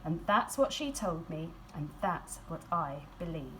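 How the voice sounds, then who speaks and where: A woman's voice: sits low, sing-song, unhurried, bright, forceful tone. Woman reading a children's storybook, noisy recording. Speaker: school staff member reading a picture book aloud.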